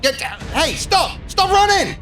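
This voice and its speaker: An actor playing a commanding police officer shouting in pursuit in a radio play. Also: male voice, very high-pitched, big pitch swings, measured pace, faint music behind, heavily acted.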